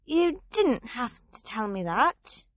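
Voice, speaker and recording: A woman's voice: fairly high pitch, sing-song, slow, no echo. A woman playing an earnest, bookish schoolgirl witch in an audio play, precise and insistent. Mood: earnest, knowing.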